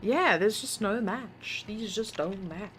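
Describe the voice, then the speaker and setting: A woman's voice: mid-range voice, slow, noisy background. A woman streamer casually commenting on her game, muttering to herself while solving puzzles and chatting with viewers.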